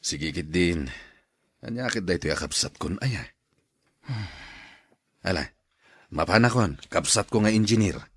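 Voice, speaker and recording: A man's voice: sits low, lively intonation, measured pace, long pauses, a bit bright, husky, dry recording, clean studio sound, full character voice. A man playing an easygoing, helpful older cousin in an Ilocano radio drama. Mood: persuasive.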